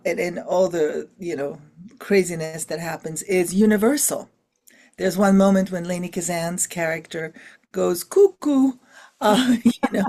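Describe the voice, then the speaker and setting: A woman's voice: mid-range voice, mellow. A middle-aged woman, a filmmaker being interviewed, talking expressively about family with many fillers and restarts. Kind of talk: casual interview talk.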